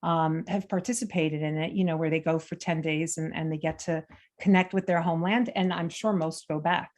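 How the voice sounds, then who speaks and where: American woman being interviewed, talks loose with lots of fillers, voice on the low side. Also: fairly even intonation, brisk, darkish tone. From United States. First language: Armenian. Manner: chatty, frequent fillers and restarts. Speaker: guest. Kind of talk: conversational interview.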